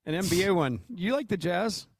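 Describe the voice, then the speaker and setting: Gravelly American man, casual radio storyteller. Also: sits high, lively intonation, a little fast, no echo. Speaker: sports radio co-host and coach. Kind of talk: casual banter and anecdote telling.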